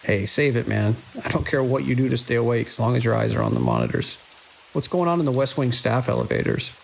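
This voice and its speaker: A weary male security chief on night watch, played by an actor, with a gravelly voice and dry, measured delivery. It is audio drama, with a light layer of hiss and rain-like noise over it. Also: medium pitch, sing-song, low-quality recording. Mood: dry, commanding. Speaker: security lead. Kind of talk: audio drama, logs and radio dialogue.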